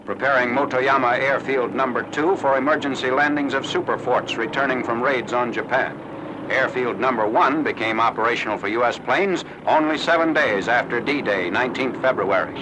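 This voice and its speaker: Noisy 1940s film soundtrack, American male newsreel narrator, mid-pitched, formal, clipped, scripted announcer delivery. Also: moderate pace.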